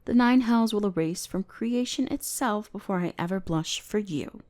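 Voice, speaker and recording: A woman's voice: medium pitch, normal speed, darkish tone, lightly acted. A woman playing a bratty, haughty female elf mage, bossy, exasperated and condescending, in a scripted roleplay audio drama. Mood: hushed, flustered.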